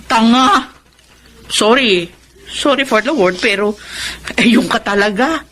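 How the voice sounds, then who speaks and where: An actress playing a blunt, protective older sister in a Filipino radio drama, exasperated and frank, with a Filipino accent. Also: female voice, fairly high pitch, animated, takes it slowly, fairly bright tone, slight background noise.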